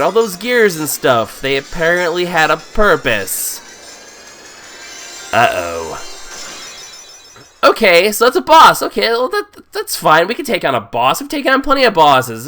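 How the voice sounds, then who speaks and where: A man hoarse from a cold talks through a game, his voice nasal and raspy but still bright and keen. His pitch sits a little high and swings widely as he jokes and thinks aloud. Faint game music plays behind him. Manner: playful, jokey, thinks aloud. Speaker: let's play host. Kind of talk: casual gameplay commentary.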